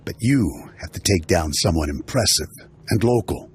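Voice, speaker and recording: A man playing a seasoned bounty hunter mentor who briefs in a steady, matter-of-fact way in video game dialogue. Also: deep voice, normal speed, husky, heavily acted. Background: faint game music.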